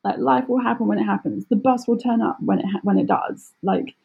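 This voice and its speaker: Husky, creaky-voiced British woman chatting. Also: mid-range voice, a little fast, narrow, tinny audio. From United Kingdom. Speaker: podcast guest, travel blogger. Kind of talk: casual conversational interview.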